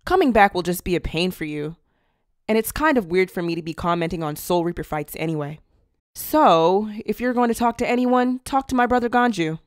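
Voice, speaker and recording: A woman acts a proud, brash anime character who talks bluntly and teases casually. Her voice is medium in pitch and recorded very dry. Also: animated, fairly quick, dry recording, lightly acted. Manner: blunt, teasing. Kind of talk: anime fan drama acting.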